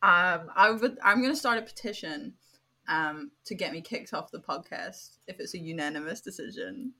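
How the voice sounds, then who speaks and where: A woman's voice: on the low side, moderate pace, sharp tone. A young British woman with a British accent, co-hosting a podcast with dry, sarcastic humor. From Britain. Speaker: podcast co-host. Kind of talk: casual chat.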